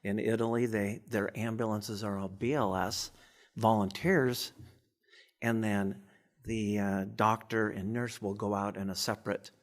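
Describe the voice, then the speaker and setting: A man's voice: fairly low pitch, little pitch movement, measured pace. A man speaking as an expert guest in an informal interview, stammering and repeating words as he explains.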